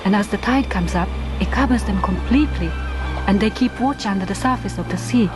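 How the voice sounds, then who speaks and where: An actress playing a proud, frank young Japanese island diver in a radio drama, with direct, formal phrasing. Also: female voice, medium pitch, brisk, music in the background, natural voice. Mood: solemn.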